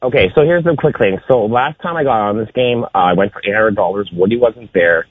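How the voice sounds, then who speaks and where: Joking man on a tinny phone line. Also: a little fast. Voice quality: raspy deep voice. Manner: joking, hums and sings song snippets. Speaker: caller. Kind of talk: casual radio call-in game.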